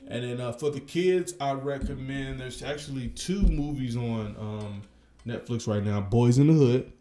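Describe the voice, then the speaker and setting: A man co-hosting a casual podcast, joking and relaxed, with lots of filler words. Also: mid-range voice, moderate pace. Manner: joking, laid-back, lots of "uh". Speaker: podcast co-host. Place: indoor room, across from co-host. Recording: echoey room.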